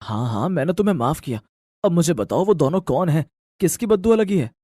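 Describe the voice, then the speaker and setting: A man's voice: on the high side, animated, fairly quick, warm tone, no echo, clean studio sound. A man voicing an emotional husband and father with an earnest, questioning manner in an audio story. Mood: concerned, insistent. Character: emotional adult husband, shocked and longing father.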